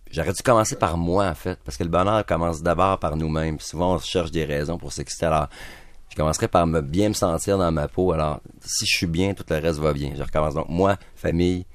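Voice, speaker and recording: Deep-voiced upbeat Québécois musician. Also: male voice, dry recording, clean studio sound. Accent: Québécois French. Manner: upbeat, talkative, joking. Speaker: band member, guest. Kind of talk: casual radio interview.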